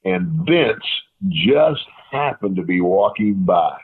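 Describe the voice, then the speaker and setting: Deep-voiced man on a tinny phone line. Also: sing-song, takes it slowly, breathy edge. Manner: rambling anecdotes with many fillers and quoted dialogue. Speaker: guest, retired wrestler. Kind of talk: casual storytelling interview.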